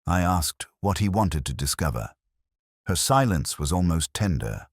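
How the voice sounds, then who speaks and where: A man with an extremely low voice narrates a scripted detective tale. His delivery is measured and brooding, with a literary turn, and his pitch moves expressively. The recording is close and dry. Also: sing-song, dry recording. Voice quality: very deep. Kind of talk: scripted first-person detective story narration.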